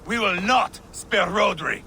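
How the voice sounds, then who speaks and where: Sharp, gravelly, high-voiced Viking warlord. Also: male voice, a little slow, a little hiss or hum, full character voice. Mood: vengeful. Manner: intense, swinging from hushed to roaring. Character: fierce, vengeful male Viking warlord, hot-tempered and grieving. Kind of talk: video game voice acting.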